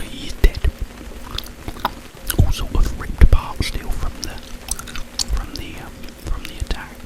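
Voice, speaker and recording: A man speaking in a breathy whisper, rambling informally through a story in ASMR style. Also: poor audio. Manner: slow, halting, informal storytelling. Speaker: ASMR content creator.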